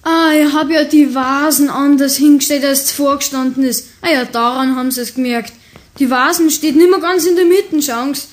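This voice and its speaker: A woman's voice: high-pitched, fairly even intonation, normal speed, close to an everyday voice. A woman playing a shy but skeptical young boy in a radio play, politely doubting, with a Bavarian accent. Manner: polite, doubting dialect talk.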